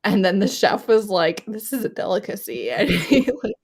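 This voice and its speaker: Casting: female book club panelist, medium-pitched voice, informal group chat delivery. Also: normal speed, slightly rough.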